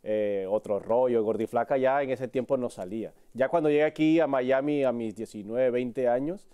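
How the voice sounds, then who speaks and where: A man's voice: mid-range voice, moderate pace, dark tone, clear, resonant tone. A man from Cuba with a Mexican accent, talking candidly as a guest in an interview. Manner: candid, speaks in short broken phrases. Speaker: sports reporter, interview guest. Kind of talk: casual interview conversation.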